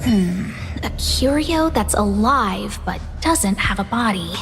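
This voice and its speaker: A woman's voice: medium pitch, lively intonation, takes it slowly, a little hiss or hum. An actress playing a caring, earnest young woman who leads researchers on a space station in a video game, speaking politely and with composure. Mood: polite, upbeat. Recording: music playing behind the voice.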